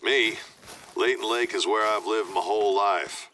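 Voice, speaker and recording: A man's voice: on the low side, measured pace, pushed and bright, a little hiss or hum, poor audio. An actor playing a nostalgic older father in a video game, talking in a folksy way to an old friend. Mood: nostalgic, friendly. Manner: folksy, reminiscing. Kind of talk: scripted video game dialogue.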